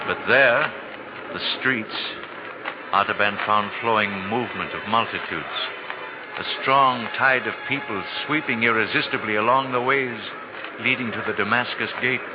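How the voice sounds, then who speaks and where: A man's voice: on the low side, normal speed, background noise. A man giving solemn, theatrical scripted narration in the style of 1940s radio drama. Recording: muffled old radio, loud music behind. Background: loud music.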